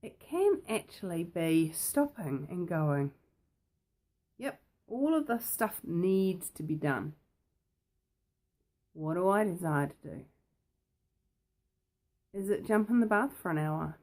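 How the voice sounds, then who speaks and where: A woman with a croaky voice, hosting and talking casually to the viewer, playful and full of questions put straight to them. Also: on the low side, animated, slow, pauses often, a little mellow. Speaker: host. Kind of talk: casual talk to camera.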